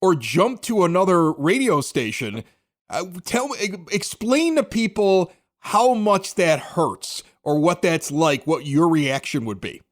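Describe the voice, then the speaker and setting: A man's voice: a little high, animated, measured pace. An American man hosting a podcast, talking sports in a casual, chatty, conversational way. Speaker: podcast host, former morning radio host.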